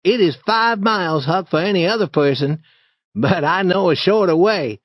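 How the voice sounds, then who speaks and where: A man's voice: high-pitched, moderate pace, dry recording, pitched above the performer's own voice. A man reading a story, voicing a bold, eager young boy who leads the way. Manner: confident, excited, urging.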